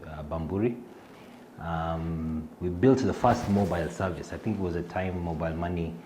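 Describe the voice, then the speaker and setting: A man's voice: deep voice, warm tone, slight background noise. A middle-aged Kenyan man with a Kenyan accent, introducing himself in an interview, hesitant with many fillers and restarts. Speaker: fintech executive.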